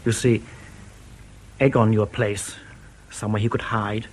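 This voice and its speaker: An actor in a radio drama playing a wary East German defector and spy who speaks in a measured, ruminative way. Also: male voice, on the low side, fairly even intonation, slight background noise, lightly acted.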